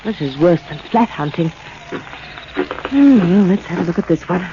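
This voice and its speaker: A woman's voice: lowish voice, expressive, a little slow. A woman playing a wry, plucky lady sleuth's companion in a 1940s radio drama. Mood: wry bravado. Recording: muffled, hissy old radio recording.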